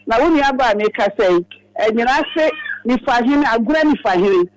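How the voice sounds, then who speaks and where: Very high-voiced Ghanaian man on radio. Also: expressive, normal speed, rough recording. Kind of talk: casual radio talk.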